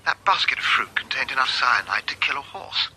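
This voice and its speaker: An actor playing a polite, eager-to-help colonial official in a radio drama. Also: male voice, mid-range voice, lively intonation, a little fast, pushed and bright, slightly rough, full character voice, poor audio. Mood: wry, helpful. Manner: polite, obliging.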